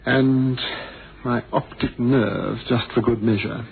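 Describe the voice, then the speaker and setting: An actor playing a blind former pilot, theatrical and bitterly witty, with a wry, wordy, ironic manner, in a radio drama. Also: male voice, mid-range voice, fairly even intonation, takes it slowly, creaky. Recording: noisy, thin phone-like old radio recording.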